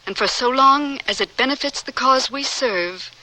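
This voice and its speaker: An actress playing a devoted, serene woman scientist facing death with fervent conviction, in measured, earnest old-time radio drama style. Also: high-pitched, bright, forceful tone, poor audio. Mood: fervent, visionary. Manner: theatrical, earnest, measured delivery.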